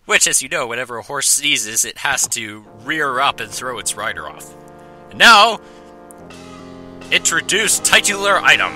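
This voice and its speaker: A man's voice: medium pitch, big pitch swings, a little slow, sharp tone. A man giving playful, sarcastic commentary while playing a video game. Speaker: let's play host. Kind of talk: jokey gameplay commentary. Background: game music.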